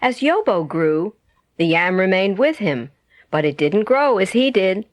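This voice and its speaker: A woman narrating a children's bedtime story as a storyteller, with expressive, dramatic and carefully phrased delivery. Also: mid-range voice, unhurried, dry recording, studio quality. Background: music.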